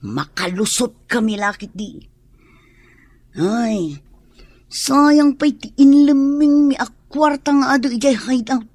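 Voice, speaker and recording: Slow, husky, scheming woman criminal. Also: high-pitched, lively intonation, darkish tone, no room sound, heavily acted. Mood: scheming, vengeful. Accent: Filipino. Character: scheming female gang criminal fearing prison, vengeful. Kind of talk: Filipino radio drama acting.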